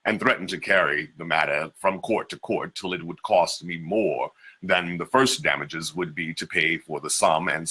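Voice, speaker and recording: Man, very low voice, forceful tone, slow deliberate reading of an old memoir. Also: slightly rough. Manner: measured, deliberate delivery with slowed emphases. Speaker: reader. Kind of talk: dramatic reading of an 18th-century memoir.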